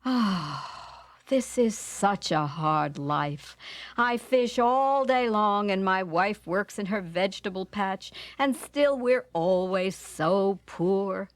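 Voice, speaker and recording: A woman voicing a poor, weary fisherman in a storybook, talking low, slow and sighing. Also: lively intonation, dry recording.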